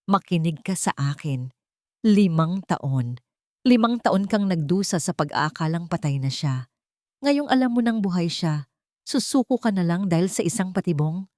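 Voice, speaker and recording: A woman's voice: on the low side, animated, moderate pace, dark tone, no echo, studio quality, lightly acted. A woman voicing a determined, protective young man in an urgent, persuasive manner for an audio love story. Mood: insistent.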